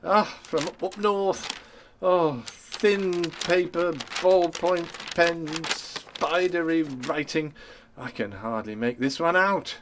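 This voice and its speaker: A man's voice: a little high, slow, a bit bright, slight background noise. A man playing a weary, exasperated wartime ministry clerk who mutters and grumbles to himself in a radio play.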